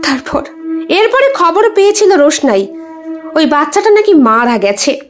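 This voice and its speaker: A woman's voice: sits high, very animated, a little slow. A woman reading a scripted suspense story in a dramatic storytelling style. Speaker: audio story narrator.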